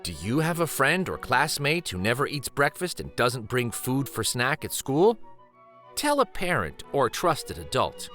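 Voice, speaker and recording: A man reading a children's picture book aloud in an expressive, deliberate, emphatic storytime style. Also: mid-range voice, soft background music. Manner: deliberate, animated, emphatic phrasing.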